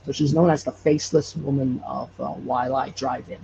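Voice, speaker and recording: A man from Hawaii telling stories casually as an interview guest, haltingly, with repeated false starts. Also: mid-range voice, fairly even intonation, vocal fry, lo-fi sound, noisy background. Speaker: podcast creator and guest.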